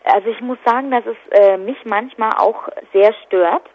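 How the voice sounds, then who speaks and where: A woman's voice: on the high side, normal speed. A woman giving spontaneous interview answers as an activist. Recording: dull, lo-fi telephone line.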